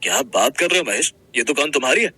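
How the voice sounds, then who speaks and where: A man's voice: fairly high pitch, very animated, fast talker, vocal fry, sharp tone, husky, no room sound, full character voice. A man playing a friendly young restaurant manager in a Hindi cartoon story, explaining things in a casual, businesslike way. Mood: surprised, friendly.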